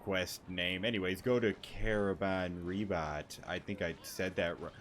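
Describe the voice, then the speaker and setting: A man's voice: low-pitched, fairly even intonation, unhurried, a bit bright, slight background noise. A man doing casual, slangy, rambling commentary over a video game and reading dialogue options aloud, game music behind. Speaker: gaming streamer.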